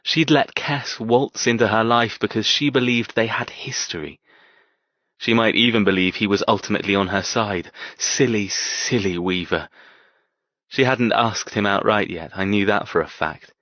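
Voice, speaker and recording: Audiobook lead: man playing a brooding, tormented cold man telling his story, deep, terse, closely dry recorded. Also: normal speed, fairly bright tone, dry recording, lo-fi sound, close to an everyday voice. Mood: brooding, resentful. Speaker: audiobook narrator. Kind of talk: first-person scripted fiction narration.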